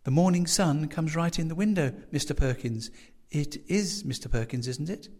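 A man's voice: fairly high pitch, moderate pace, a little mellow, voice pushed up, lightly acted. A man voicing a dotty, overly sweet older landlady who gushes and fusses over her guest. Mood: fussy. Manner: gushing, fussy, coaxing.

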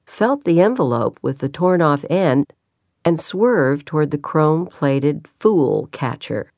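A woman reads a novel aloud in a low, unhurried voice. Her delivery is measured and steady. The recording is dry and close, with no room sound. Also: no echo. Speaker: audiobook narrator. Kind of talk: scripted narration.

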